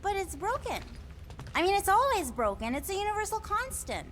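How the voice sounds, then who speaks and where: A quirky, whimsical alien seer from a video game, speaks in a high voice. Her pitch swoops freely and she is oddly candid. Faint noise runs behind her. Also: big pitch swings, brisk, a bit bright, full character voice. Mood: musing.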